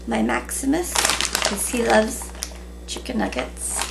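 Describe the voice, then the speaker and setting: Woman, 30s, casual home vlog chat, unhurried, fry in voice, noisy webcam recording. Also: extremely low pitch. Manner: relaxed, chatty, many ums. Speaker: vlogger. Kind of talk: casual haul video chat. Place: small room at home, indoors. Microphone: camera in front at table.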